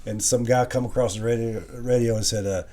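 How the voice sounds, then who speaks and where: A man's voice: medium pitch, fairly even intonation, fairly quick, warm tone. A middle-aged American man with a Southern accent shares memories in a casual conversation. He sounds plainspoken and folksy, with a mid-range voice. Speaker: co-host sharing memories. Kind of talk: casual reminiscing chat.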